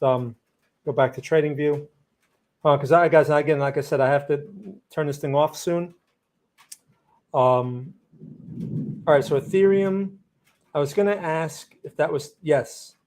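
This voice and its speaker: A man's voice: on the high side, darkish tone. Man with a New Jersey accent and a slightly raised voice, live-streaming chart analysis, opinionated and loose. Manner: blunt, opinionated, rambling with restarts. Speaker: trading livestream host. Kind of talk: live market analysis, answering chat.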